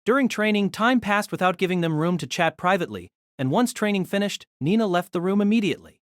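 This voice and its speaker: A narrator reading a novel aloud in an expressive storytelling manner. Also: medium pitch, fast talker, no echo, clean studio sound.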